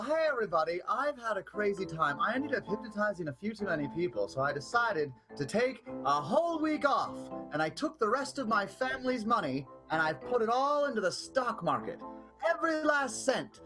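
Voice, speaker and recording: A man's voice: very high voice, very animated, normal speed, music in the background, rough recording. A man acting a cheerfully foolish vaudeville hypnotist in an improvised comic radio soap, chatty and naive. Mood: naive optimism.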